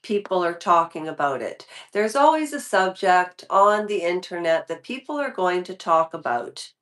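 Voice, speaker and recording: A woman's voice: on the low side, expressive, normal speed, fairly bright tone. A woman in her fifties talking casually to her viewers in a vlog, rambling and often restarting her sentences. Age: 50s. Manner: rambling, restarts and trails off mid-thought. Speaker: YouTube commentary creator.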